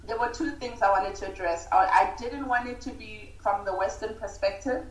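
A woman's voice: medium pitch, moderate pace, slight background noise. Distant, echoey woman with hard-to-place international accent. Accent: hard-to-place international English. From Uganda. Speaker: guest, film writer and director. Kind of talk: reflective interview talk. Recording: roomy echo, distant microphone.

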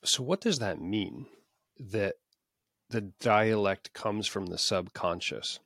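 A man's voice: lowish voice, slow, fairly bright tone, dry recording, clean studio sound. A man hosting a podcast interview, asking curious questions with frequent halts and restarts. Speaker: podcast host.

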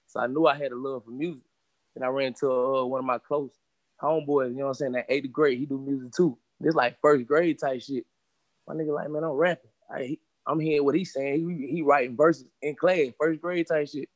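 A young man in his twenties from Charlotte talks quickly about himself as a rapper guest. He speaks in streetwise slang, with a steady stream of "you know what I'm saying". The sound is dry, with no room around it. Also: mid-range voice, no room sound, dull audio. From Charlotte, North Carolina. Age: 20s. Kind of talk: casual interview.

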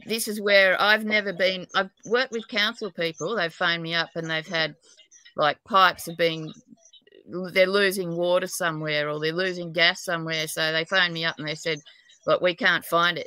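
A woman's voice: on the low side, pushed and bright, clear, resonant tone, dry recording, rough recording. An Australian woman from New South Wales, a psychic and dowser, chatting in a rambling, talkative way and laughing often. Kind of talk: casual podcast chat.

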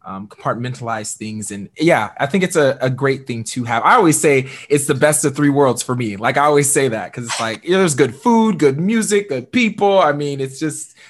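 A young man hosts a conversational podcast with an upbeat, animated delivery, leaning on fillers as he talks. His voice sits at a middle pitch for a man, neither deep nor high. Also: normal speed, few pauses. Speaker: podcast host. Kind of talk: conversational interview.

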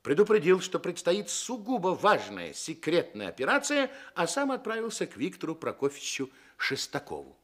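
A man's voice: sits high, huge pitch swings, moderate pace, hardly any pauses, a bit bright, a little hiss or hum. A man narrating a radio play as a scripted storyteller.

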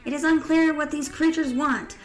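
A woman voicing an alarmed radio news announcer in a story, loud and urgent as in a breaking bulletin. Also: sits high, steady tone, normal speed, pitched above the performer's own voice, lightly acted.

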